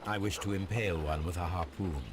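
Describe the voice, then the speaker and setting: An actor playing a brilliant, precise English gentleman detective in a video game, speaking formally and coolly. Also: sits low, noisy background, natural voice. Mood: calm, purposeful. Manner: formal, measured, coolly deductive delivery. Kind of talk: scripted video game dialogue.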